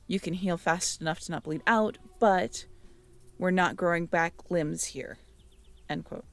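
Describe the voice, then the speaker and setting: A woman's voice: fairly low pitch, a little slow. A woman in an intimate audio roleplay, musing aloud as she reads through notes and checks back with the listener.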